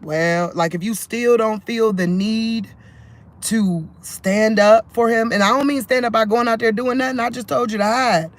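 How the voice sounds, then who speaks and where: A man's voice: high-pitched, fairly even intonation, moderate pace. A man vlogging about faith into his phone, talking loosely and conversationally, restarting sentences and leaning on fillers. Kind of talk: rambling religious vlog to camera.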